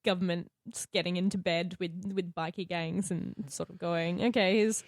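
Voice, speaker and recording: A woman's voice: fairly low pitch, steady tone, moderate pace, no echo, studio quality. A woman talking casually and informally among friends as a podcast host, with a wry manner.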